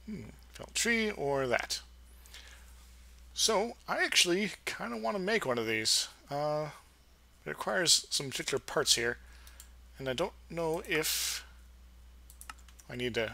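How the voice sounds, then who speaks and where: Young male let's play host, casual and rambling, playful asides, bright tone. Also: on the high side, expressive, unhurried, gravelly, pauses often. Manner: rambling, playful, fumbles for words. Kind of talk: casual gameplay commentary.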